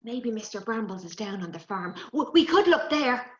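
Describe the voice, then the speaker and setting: A woman voicing an excitable, naughty little boy in a children's story, squealing with glee. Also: fairly high pitch, moderate pace. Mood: eager. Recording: roomy, slightly dull recording.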